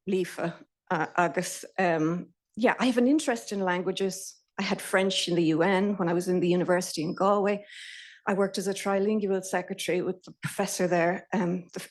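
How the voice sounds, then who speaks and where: A middle-aged Irish woman giving a nervous, chatty talk to a live audience, with fillers and restarts. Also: mid-range voice. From Ireland. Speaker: speaker. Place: event venue in a company office.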